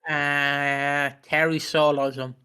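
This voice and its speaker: Bright-voiced joking man. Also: fairly high pitch, little pitch movement, takes it slowly, clean tone. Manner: jokey, chants playful catchphrases. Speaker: podcast co-host. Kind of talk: casual chat about a TV soap.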